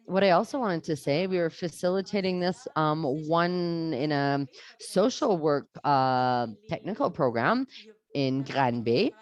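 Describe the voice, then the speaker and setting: A woman's voice: deep voice, a little slow. A woman, a teacher earnestly sharing classroom stories in a webinar talk, often restarting her sentences. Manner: earnest, animated, frequent restarts.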